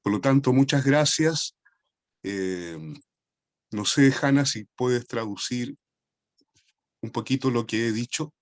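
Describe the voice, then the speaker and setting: A man with a Chilean accent, a poet opening his lecture slowly and thoughtfully, with many hesitations over a video call. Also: medium pitch, no echo. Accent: Chilean Spanish. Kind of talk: formal lecture introduction.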